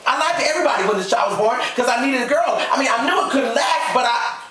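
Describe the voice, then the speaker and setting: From a roomy, slightly distant recording comes a man acting as a Southern mother in desperation. The voice is pitched high and breathy, guiltily begging her husband as in a dramatized audiobook. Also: quick pace, lightly acted. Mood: desperate confession. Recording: roomy, distant mic, faint noise.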